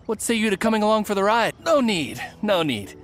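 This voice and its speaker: A woman plays a shifty bandit in merchant's guise for a video game. The voice swings widely in pitch, with soft music underneath. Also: big pitch swings, a little fast, close to an everyday voice. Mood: friendly. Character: smooth-talking bandit posing as a friendly travelling merchant.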